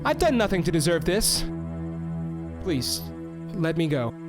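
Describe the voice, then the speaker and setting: A man voicing a selfish male mayor held captive, who shouts and pleads in panic, in an audio drama. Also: on the high side, very animated, a little slow, over music. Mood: pleading.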